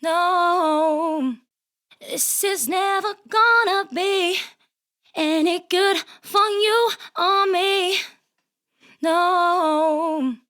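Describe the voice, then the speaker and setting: A woman's voice: very high-pitched, drawn-out words, fairly bright tone. A woman singer performing a sung pop vocal. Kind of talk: sung vocal take, repeated pop phrase.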